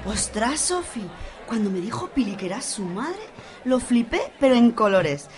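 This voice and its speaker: A woman as a high-voiced young party girl. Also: sing-song, moderate pace, darkish tone, a faint crowd. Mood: amused, giddy. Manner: slangy, exclamatory, chatty. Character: Edurne, brash young party-loving woman, amused. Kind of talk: radio soap acting.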